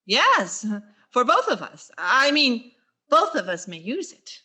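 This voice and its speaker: A woman playing a wry schoolteacher with quick deadpan asides in a vintage radio sitcom style. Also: on the high side, full character voice. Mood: wry, friendly.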